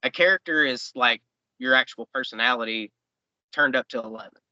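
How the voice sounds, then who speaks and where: A man's voice: mid-range voice, a little fast, sharp tone, no room sound, muffled recording. A man, a wrestler chatting easygoing in an interview, rambling with fillers. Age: 20s. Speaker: professional wrestler guest. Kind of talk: casual interview chat.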